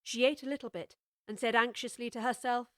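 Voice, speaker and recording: A woman reading scripted storybook narration in a measured, expressive way. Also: a little high, no echo, clean studio sound. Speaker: audiobook narrator.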